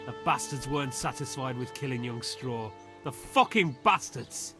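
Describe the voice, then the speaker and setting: An actor playing a troubled, emotional village husband in a video game. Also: male voice, medium pitch, moderate pace, close to an everyday voice. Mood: bitter, angry. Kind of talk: dramatic video game dialogue. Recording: music clearly under the voice.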